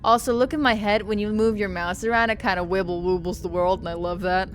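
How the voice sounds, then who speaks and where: A woman's voice: mid-range voice, fairly even intonation, fast talker, faint music behind. A young adult woman streaming a game with casual commentary, rambling and joking with stammering restarts.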